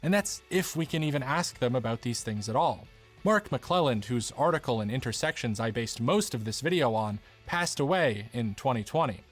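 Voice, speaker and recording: Male essay narrator, mid-range voice, articulate and earnest, soft music underneath. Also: mid-range voice, expressive, moderate pace. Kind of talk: scripted documentary essay narration.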